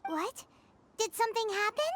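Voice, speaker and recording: A woman voicing a tiny excitable fairy companion who refers to herself in the third person. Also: very high-pitched, normal speed, background noise. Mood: dismayed.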